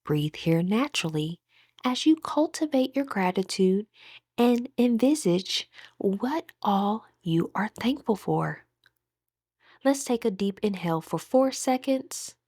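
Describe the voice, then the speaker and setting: A woman guiding a meditation in a soothing, deliberate manner with gentle pauses. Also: medium pitch, animated, dry recording, clean studio sound. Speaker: meditation guide. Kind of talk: guided meditation narration.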